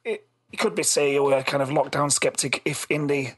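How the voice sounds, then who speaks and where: A man hosting a casual podcast chat in a rambling, halting way, his voice a little lost. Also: a little high, a bit bright, slightly rough. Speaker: podcast host.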